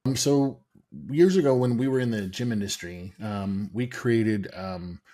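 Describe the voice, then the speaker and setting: A middle-aged American man, a guest in an interview, explaining sales steps in a measured way with many "um" pauses. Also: lowish voice, warm tone. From United States. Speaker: guest, sales and software founder.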